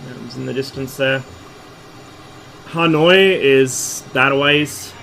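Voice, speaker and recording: A hoarse man, losing his voice, casually talking through a flight-sim stream in a relaxed, rambling way. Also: medium pitch, slow, a little hiss or hum, rough recording. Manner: relaxed, rambling, with fillers and asides.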